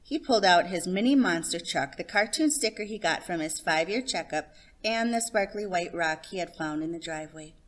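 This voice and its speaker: Slightly low-voiced woman reading a children's story. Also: expressive, moderate pace. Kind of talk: scripted children's story narration.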